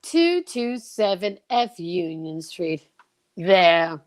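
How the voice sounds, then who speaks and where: A woman's voice: lowish voice, sing-song, drawn-out words, full character voice. Woman putting on a prim, huffy floor walker. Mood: stiffly polite. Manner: fussy, haughty, formal. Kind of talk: old-time radio detective parody.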